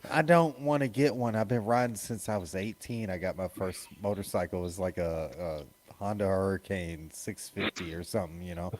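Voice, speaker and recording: A man in his late forties in casual, profane chat, laughing often and riding loud swings of emphasis. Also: sits low, normal speed, few pauses. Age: 40s. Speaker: motorcycle rider.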